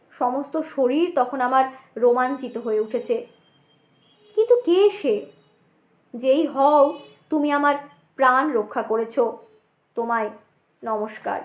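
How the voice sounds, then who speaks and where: A woman reading a scripted ghost story as an audiobook narrator, expressive and suspenseful, with deliberate pauses. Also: high voice. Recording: roomy echo.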